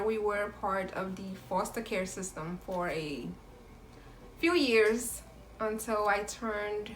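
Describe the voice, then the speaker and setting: A woman in her late thirties from New York, chatting casually as a guest and telling her life story in a soft, easygoing way. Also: medium pitch, echoey room, slight background noise, rough recording. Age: 30s. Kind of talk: casual chat.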